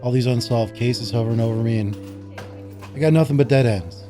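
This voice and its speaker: An actor playing a weary, bitter police detective in a gritty crime audio drama, with a deliberate, brooding delivery. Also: male voice, medium pitch, close to an everyday voice. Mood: weary, defeated. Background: music.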